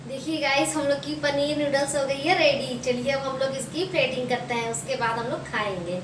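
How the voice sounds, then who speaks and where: A woman's voice: high-pitched, brisk, roomy sound, distant mic, noisy background. A young woman vlogger chatting casually to camera in a small bedroom. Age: young adult. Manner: chatty, animated and giggly. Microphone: phone camera at arm's length.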